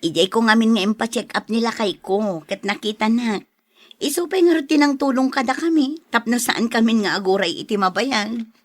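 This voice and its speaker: Woman acting a fairly high-voiced friendly neighbourly woman. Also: lively intonation, moderate pace. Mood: chatty, pleased. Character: friendly, talkative woman, sympathetic acquaintance of the mother. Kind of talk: audio drama acting.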